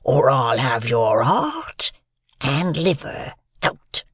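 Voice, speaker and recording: Man acting a slow, menacing ragged convict. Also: medium pitch, big pitch swings, fairly bright tone, husky, no echo, clean studio sound, full character voice. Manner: slow, drawn-out threatening rustic speech.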